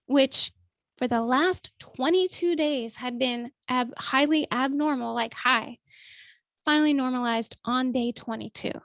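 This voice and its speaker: A woman vlogger with a naturally high voice that is still a little strained after illness, chatting casually to her viewers with lots of ums and calling them folks. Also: creaky, dry recording. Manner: chatty, many ums, calls listeners folks. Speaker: vlogger and writer. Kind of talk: casual talk to camera.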